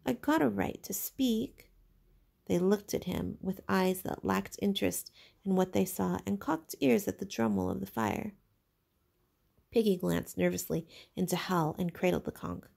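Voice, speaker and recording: A woman reads literary fiction aloud as a teacher would. Her voice has plenty of vocal fry, and her narration is expressive and dramatic. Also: lowish voice, moderate pace. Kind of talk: scripted storybook narration.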